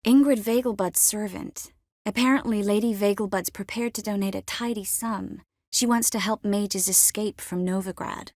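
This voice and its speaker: An actress playing a caring, wry and determined adult sorceress in a video game, with an earnest, expressive dramatic delivery. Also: female voice, mid-range voice, normal speed, no room sound, studio quality, lightly acted. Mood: earnest, composed.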